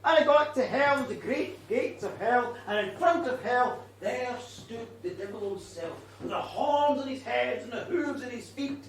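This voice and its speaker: A man's voice: very high-pitched, expressive, unhurried, hardly any pauses, full character voice. A man telling as a mournful ghost woman. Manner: mournful, solemn first-person telling. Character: vengeful female ghost, centuries old. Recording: roomy and distant, faint noise.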